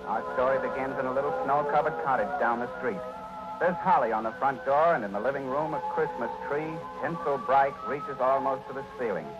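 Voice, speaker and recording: Man as gentle father, 1940s radio storyteller, dull muffled recording, music underneath. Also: mid-range voice, fairly quick, natural voice. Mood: storybook narration. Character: gentle, kindly father telling a bedtime story. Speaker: narrator and father in a radio play.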